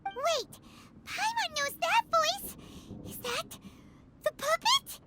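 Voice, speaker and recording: A woman voicing a tiny, excitable, childlike fairy companion in a video game, squeaky and dramatic. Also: slow pace, noisy background, exaggerated voice. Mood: startled. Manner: exclamatory, refers to self in third person. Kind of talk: animated video game voice acting. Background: game music.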